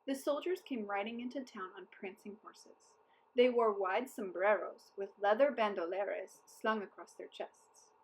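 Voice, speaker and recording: A woman reading a children's story aloud as storyteller, in an expressive, deliberate way. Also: medium pitch, poor audio.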